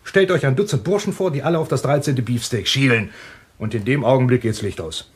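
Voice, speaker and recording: An actor playing a boisterous, gluttonous husband telling stories at a party in a radio play. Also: male voice, medium pitch, fairly quick, lightly acted. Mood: dramatic storytelling.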